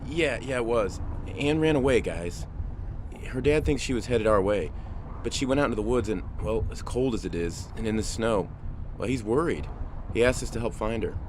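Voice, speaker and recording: A man playing a steady, caring father in a family audio drama, speaking calmly and reassuringly. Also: on the low side, normal speed, close to an everyday voice. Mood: explaining. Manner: calm, reassuring, protective. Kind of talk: amateur audio drama acting. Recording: noisy background.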